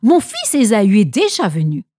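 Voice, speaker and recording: An old patriarch, acted out by a woman in a storybook tale, speaking with solemn weight. The delivery is expressive and swings in pitch, with a soft-edged tone in a very dry recording. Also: measured pace, dry recording. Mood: angry, horrified. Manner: solemn, declamatory. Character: old father Isaac, solemn patriarch, later horrified.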